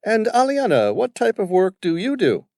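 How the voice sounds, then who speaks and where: A man's voice: high-pitched, wildly swooping pitch, moderate pace, gravelly, darkish tone, no echo, clean studio sound, voice pushed up, exaggerated voice. A man voicing an arrogant, condescending wealthy older businessman who treats everyone as hired help. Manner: pompous, condescending.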